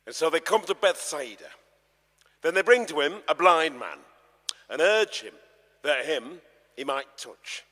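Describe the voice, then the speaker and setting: A man with a husky, expressive voice narrates scripture dramatically. His delivery is slow and deliberate, swinging widely in pitch, and it rings through a long church reverb. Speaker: actor reading the narrator of a gospel. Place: large church, live performance before an audience.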